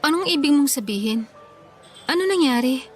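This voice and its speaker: A woman plays a forgiving eldest daughter in a radio play. She speaks calmly and reassuringly in a slightly high voice. Soft music sits in the background. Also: moderate pace. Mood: gentle. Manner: calm, gentle, reassuring. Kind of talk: Tagalog radio drama acting.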